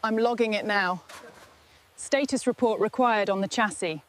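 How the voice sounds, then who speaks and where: A woman's voice: medium pitch, moderate pace, background noise, lightly acted. A woman playing the commanding boss of a machinery repair crew, giving businesslike instructions in a scripted workshop scene. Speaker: repair team leader.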